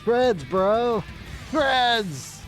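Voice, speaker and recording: A man streaming casual, slangy reaction commentary in an excitable way as he watches along. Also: very high-pitched, very slow. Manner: excitable, slangy, laughs often. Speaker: game-focused streamer. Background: game music.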